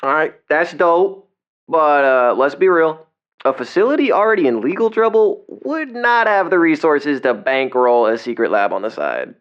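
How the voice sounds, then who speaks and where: A man's voice: fairly high pitch, lively intonation, normal speed, smooth, muffled recording, close to an everyday voice. An actor playing a casual, slangy young man, a reckless and stubbornly curious explorer in an audio drama. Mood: skeptical.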